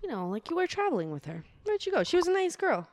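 A hushed, buddy-buddy male good cop who keeps calling the suspect man, as a woman puts him on. He speaks softly and lower than her usual voice. The sound is dry, with no room echo. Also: huge pitch swings, quick pace, heavily acted. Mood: coaxing. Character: soft-spoken, chummy male good cop.

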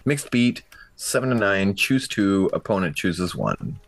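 A man's voice: low voice, expressive, a little slow, gravelly, a little hiss or hum. A man casually describing his moves as a tabletop roleplaying player, in a slangy, informal way. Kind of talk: casual in-game action description.

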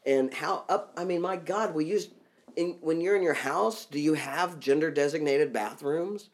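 A man's voice: a little high, normal speed. A man co-hosting a podcast in casual chat, talking bluntly and profanely and getting worked up as he goes. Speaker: podcast co-host.

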